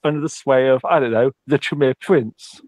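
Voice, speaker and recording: A man's voice: medium pitch, moderate pace, darkish tone, dry recording. A man speaking in character during a tabletop roleplay, hesitant, with many ums and restarts.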